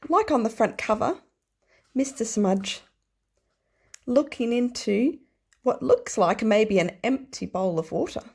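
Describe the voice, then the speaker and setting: Woman teacher with slow, lively, high-pitched delivery. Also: vocal fry. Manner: simple, deliberate explaining with questions to children. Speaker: early primary school teacher. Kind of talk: read-aloud lesson for young children.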